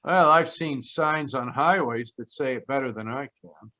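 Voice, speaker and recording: Man to play a smooth-talking, teasing radio gumshoe, mid-pitched, unflappable, on slightly muffled call audio. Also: normal speed, sharp tone, lightly acted. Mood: flirtatious, teasing. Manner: breezy, teasing. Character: wisecracking, flirtatious male private detective, cool and unflappable. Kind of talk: old-time radio detective drama acting. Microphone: video call. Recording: dull video-call audio.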